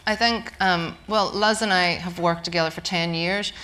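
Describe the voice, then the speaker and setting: A woman with a Northern Irish accent, a writer speaking on a live panel, halting and laughing often. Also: fairly low pitch, steady tone, pushed and bright, rough recording. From Northern Ireland. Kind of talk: casual panel talk. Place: stage before a live audience.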